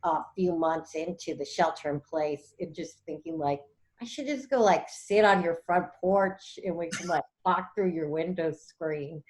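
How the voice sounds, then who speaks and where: A woman's voice: low-pitched, measured pace, a little mellow, low-quality recording. A woman joining a podcast as a guest over a video call, chatting casually and swearing now and then. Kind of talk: casual chat.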